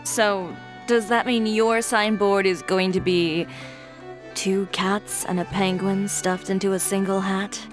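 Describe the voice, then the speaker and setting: An actress playing a stern, self-assured young fashion designer in a video game, composed and blunt. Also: female voice, mid-range voice, level tone, slow pace, over music, natural voice. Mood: calm, dry.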